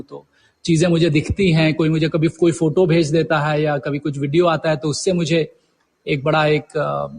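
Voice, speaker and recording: A middle-aged man from India talking reflectively in an interview, mixing in English words and telling anecdotes conversationally. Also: fairly high pitch. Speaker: guest poet and professor.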